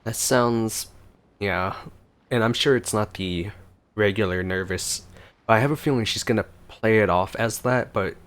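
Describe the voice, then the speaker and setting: A man's voice: on the low side, unhurried, fairly bright tone, smooth. A man giving casual let's-play commentary as a gaming host, musing tentatively as he goes.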